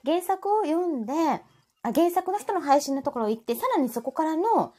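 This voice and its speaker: Animated woman chatting in Kansai Japanese. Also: high-pitched, normal speed. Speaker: live audio stream co-host. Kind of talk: casual chat.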